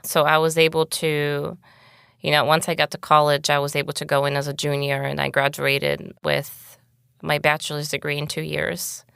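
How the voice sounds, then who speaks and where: A young woman from Bulgaria, Bulgarian her first language, speaks low with a gravelly edge. Her voice stays smooth and full as she tells her story candidly. The recording is close and dry. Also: level tone, moderate pace, fairly bright tone, no room sound. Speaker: guest. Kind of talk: casual interview talk.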